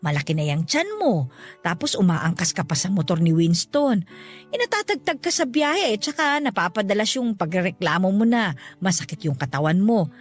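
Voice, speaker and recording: A woman playing a doting but insistent middle-aged mother-in-law in a radio drama, coaxing and expressive. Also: medium pitch, moderate pace. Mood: hesitant, coaxing. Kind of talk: Tagalog radio drama acting. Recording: music bed under the voice. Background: background music.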